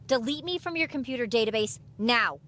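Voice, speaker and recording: A woman's voice: on the high side, lively intonation, a little fast, a bit bright, a little hiss or hum. A woman playing a sceptical, sarcastic seventeen-year-old modern girl in an audio drama. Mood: annoyed, sarcastic. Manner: sarcastic, dismissive.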